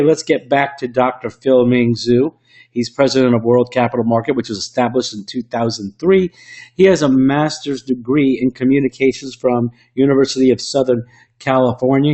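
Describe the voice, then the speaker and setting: A man with a slightly rough voice from illness, presenting an online webinar into a computer mic in a salesy way with frequent restarts. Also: medium pitch, darkish tone. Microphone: computer microphone.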